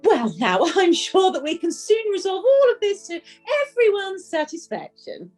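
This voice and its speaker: A woman's voice: very high voice, huge pitch swings, moderate pace, music in the background, exaggerated voice. A woman playing a gushing, polished resort manager, eagerly courteous and formal, in an audio drama. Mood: reassuring.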